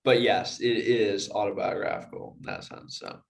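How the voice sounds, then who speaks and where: A man talking as a poet answering questions in a thoughtful, measured and slightly tentative way. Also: on the low side, very animated, vocal fry. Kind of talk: thoughtful, casual discussion.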